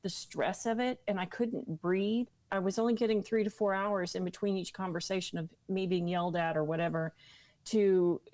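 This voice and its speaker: A woman interview guest recounting her own experience in a candid, emphatic way, with dramatic pauses on key words. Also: medium pitch, fairly even intonation, a little mellow, no room sound. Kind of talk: personal storytelling in an interview.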